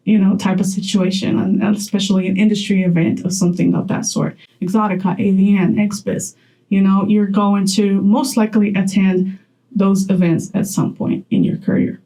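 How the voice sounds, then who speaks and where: A woman's voice: medium pitch, mellow, low-quality recording. A young woman from Poland talking straight to camera in a chatty vlog, laughing often as she gives advice. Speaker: content creator.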